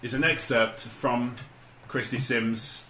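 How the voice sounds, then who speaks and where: A man's voice: mid-range voice, steady tone, slow pace, bright, forceful tone. A man co-hosting a comedy podcast, chatting and reading aloud in an amused, mock-serious, sweary way. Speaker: podcast co-host. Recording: roomy echo with background noise.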